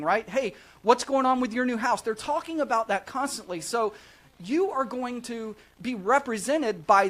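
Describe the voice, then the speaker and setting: A man presents a live studio talk show as its host. He speaks in an upbeat, sales-pitch manner, mixing casual banter with explanation and punctuating his points with short check-in questions. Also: a bit bright. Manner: upbeat salesman-like, repeated "right?" tags. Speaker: host of a real estate show, realtor. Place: small studio set at a desk.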